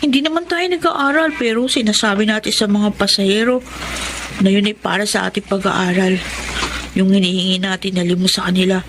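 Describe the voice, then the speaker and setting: A woman's voice: mid-range voice, fairly even intonation, brisk, noisy background, natural voice. A woman with a Filipino accent playing an earnest, protective young older sister in a radio drama. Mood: earnest, worried. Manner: earnest, cautioning.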